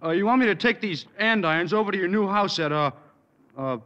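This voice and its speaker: An actor playing a dim-witted, good-natured teenage schoolboy in a 1950s radio sitcom, earnest and fumbling. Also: male voice, high voice, animated, brisk, sharp tone, clean tone, highs cut off. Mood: dopey. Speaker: student.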